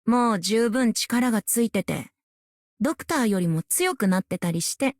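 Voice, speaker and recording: A woman's voice: on the high side, animated, fast, darkish tone, dry recording, clean studio sound, close to an everyday voice. A woman voicing a youthful, proud and earnest soldierly operator in scripted game lines. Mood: earnest, hopeful.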